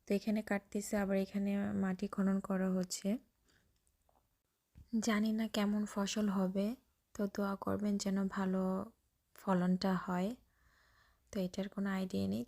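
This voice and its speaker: Even-toned woman vlogger. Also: mid-range voice, moderate pace, pauses often. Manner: repetitive, describes actions as they happen. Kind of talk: casual vlog narration of gardening.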